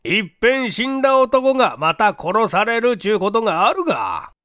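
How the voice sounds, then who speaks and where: A man's voice: sits high, big pitch swings, moderate pace, a bit bright, no echo, studio quality, voice pushed up. Man acting a blunt, skeptical police inspector. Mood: incredulous, irritated. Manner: blunt, old-fashioned rustic turns of phrase. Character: gruff, skeptical middle-aged male police inspector. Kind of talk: dramatized mystery audiobook reading.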